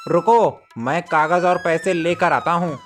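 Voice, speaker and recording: A man's voice: fairly high pitch, steady tone, unhurried, soft background music, lightly acted. A man voicing a cold, grasping village headman and moneylender in a cartoon moral story, domineering and calculating. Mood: calculating, smug.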